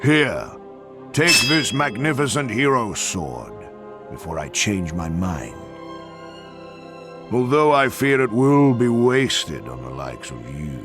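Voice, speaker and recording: A man's voice: on the low side, big pitch swings, slow pace, music in the background. A man voicing a haughty, scornful warrior in a game cutscene, pompous and contemptuous. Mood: scornful, grudging. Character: haughty, scornful, grudging old-time warrior hero. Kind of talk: animated game cutscene acting.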